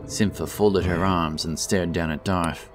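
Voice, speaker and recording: Casting: deep male storyteller, solemn and dramatic, for scripted fantasy narration over strong music. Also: steady tone, unhurried.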